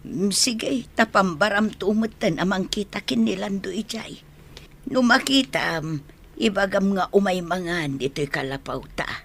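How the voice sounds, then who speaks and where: A woman's voice: medium pitch, unhurried, a little hiss or hum, close to an everyday voice. A woman playing the caring rural wife of an old herdsman in an Ilocano radio drama.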